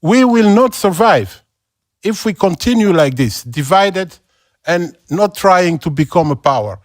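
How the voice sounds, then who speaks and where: High-voiced earnest man debater. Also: animated, a little slow, no room sound, studio quality. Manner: earnest, repetitive, non-native phrasing. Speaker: panel debater. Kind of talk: panel debate.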